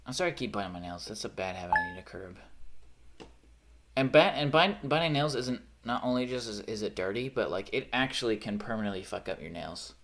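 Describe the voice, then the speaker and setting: A man's voice: on the low side, animated, fairly quick, a bit bright, slight background noise. Rambling young man with a faint rural Pennsylvania accent. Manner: rambling, self-correcting, trails off. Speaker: streamer. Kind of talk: casual live-stream chat.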